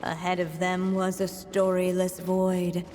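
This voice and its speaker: Slow, mellow storybook narrator played by a woman. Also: fairly low pitch, monotone, slight background noise, natural voice. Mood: solemn. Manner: measured, solemn storytelling. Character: female storybook narrator, calm and solemn. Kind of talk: scripted fairy-tale narration in a video game. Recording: long hall reverb.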